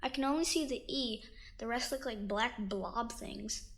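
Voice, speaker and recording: A timid, high-voiced young schoolboy. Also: female voice, a little slow. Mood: timid, worried. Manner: timid, childlike. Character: shy, worried young schoolboy, trusting and polite. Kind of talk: audio drama acting.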